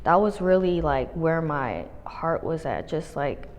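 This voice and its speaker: Laid-back candid young woman, low-pitched. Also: expressive, measured pace. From Los Angeles. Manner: laid-back, candid, swears casually, lots of likes and ums. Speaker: interview guest. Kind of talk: casual interview. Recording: long room reverb.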